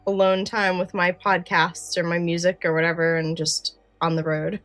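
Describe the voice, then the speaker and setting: A woman's voice: fairly low pitch, flat delivery, moderate pace, no room sound, faint music behind. A woman chatting casually as a podcast co-host. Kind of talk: casual chat.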